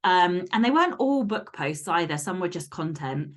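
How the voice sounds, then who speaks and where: Female podcast guest, slightly low register, frank and sweary, speaking in an informal conversational style. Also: very animated, normal speed. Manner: blunt, sweary, candid. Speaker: podcast guest and fantasy romance author. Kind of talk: casual interview chat.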